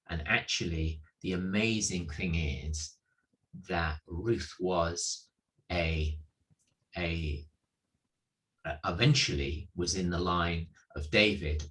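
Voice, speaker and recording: A man preaching informally, with halting, hesitant phrasing and repeated words. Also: low-pitched, a bit bright, rough recording. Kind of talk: informal sermon.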